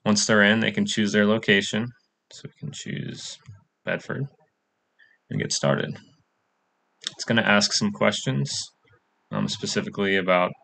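Young male student demoing his app; low, gravelly, bright tone, casual with fillers. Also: slight background noise. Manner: casual, many "um" fillers, plain walkthrough. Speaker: coding bootcamp student presenting a project. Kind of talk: screen-recorded project demo.

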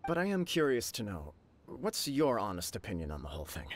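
A bashful young clan head. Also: male voice, fairly low pitch, huge pitch swings, fairly quick, slight background noise. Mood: earnest. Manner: polite, modest, a little shy. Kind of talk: video game voice acting.

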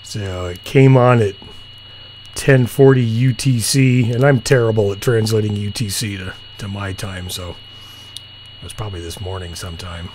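A middle-aged man chatting casually and musing in an unhurried, low-key way as he hosts a hobby video. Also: fairly even intonation, darkish tone. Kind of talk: casual chat while demonstrating radio software. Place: small indoor home room. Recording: humming, clearly noisy home recording.

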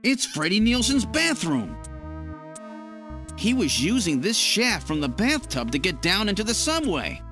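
A man's voice: high-pitched, huge pitch swings, unhurried, heavily acted. A man playing an earnest, determined detective hero in an adventure game, with dramatic, emphatic delivery. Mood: puzzled, deducing. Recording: reverberant echo, music behind.

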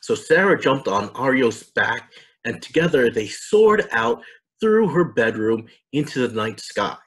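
Expressive man narrating for children. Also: medium pitch, a little slow, low-quality recording. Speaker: storybook reader and presenter. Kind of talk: scripted narration for children and families.

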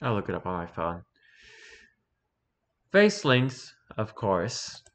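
A man's voice: on the low side, very animated, slow pace, fairly bright tone. A man reading aloud casually with wry offhand asides, sometimes stumbling over the words as he goes. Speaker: streamer reading and reacting to wiki pages.

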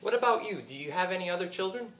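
An actor playing a friendly, placating middle-aged husband on a theater stage. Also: male voice, sits high, expressive, very fast, a bit bright, a little hiss or hum. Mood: chatty. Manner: affable, placating. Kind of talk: stage play acting. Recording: roomy stage sound.

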